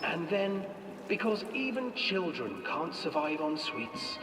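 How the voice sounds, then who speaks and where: A man's voice: fairly high pitch, big pitch swings, slow pace, noisy background. A man playing a sinister storyteller, reading a fairy tale in a theatrical, scripted way. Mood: ominous storytelling. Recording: echoey hall, distant, music behind.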